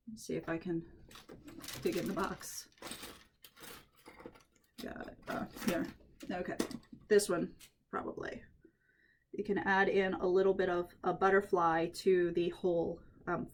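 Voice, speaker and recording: A woman at home, a little croaky from allergies, chatting through a head-wrap tutorial and thinking aloud as she works. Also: fairly low pitch. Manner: chatty, thinks aloud while working, frequent restarts. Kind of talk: casual hands-on tutorial.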